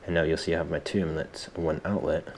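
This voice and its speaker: A man presenting a casual software tutorial, thinking aloud in an informal, self-deprecating way, with some background noise in the recording. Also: a bass voice, flat delivery, fast talker.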